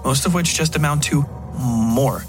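Bubbly female agent for audio fiction, male performer: raised pitch, gushing, rambling chatter, music bed. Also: measured pace, close to an everyday voice. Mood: excited, chatty.

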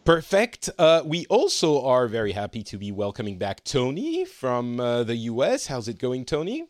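A man's voice: mid-range voice, huge pitch swings, moderate pace, dry recording. A man in his forties hosting a podcast, chatting and teasing his guests and laughing often. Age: 40s. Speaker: podcast host. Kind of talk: casual chat, welcoming guests.

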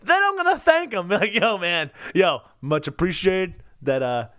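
A man's voice: sits high, expressive, measured pace, fairly bright tone, clean tone, dry recording, clean studio sound. A man co-hosting a podcast in casual, joking chat, with slangy and hesitant phrasing. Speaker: podcast co-host.

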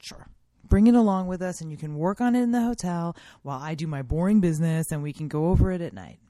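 An actress playing an evasive wife who placates a suspicious spouse in a scripted drama. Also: female voice, fairly low pitch, fast, dark tone, dry recording, clean studio sound, natural voice. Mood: placating, coaxing.

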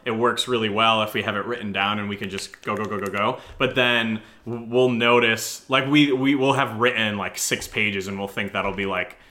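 A man's voice: fairly low pitch, steady tone, normal speed, few pauses, bright, forceful tone. A man co-hosting a podcast, chatting casually as he answers listener questions in a rambling, joking, self-deprecating way. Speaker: audio drama podcast co-host.

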